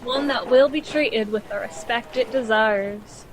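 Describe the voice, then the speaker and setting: An actress playing a firm, idealistic scientist in a science fiction radio play. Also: female voice, on the high side, measured pace, a crowd in the background, lightly acted. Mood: earnest. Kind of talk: scripted sci-fi radio play.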